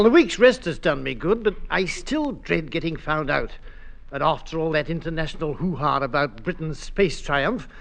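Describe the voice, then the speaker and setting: An actor playing an anxious, easily flustered British civil service underling in a radio sitcom, fretful and hesitant. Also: male voice, a little high, slight background noise, poor audio. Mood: uneasy. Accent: British English.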